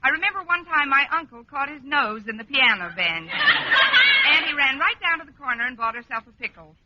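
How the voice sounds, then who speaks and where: An actress playing a wry, wisecracking schoolteacher in a vintage radio sitcom, tossing off drawn-out deadpan asides. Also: female voice, high voice. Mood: placating. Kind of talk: 1940s-50s radio sitcom acting. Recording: muffled old radio recording, loud noise, clicks.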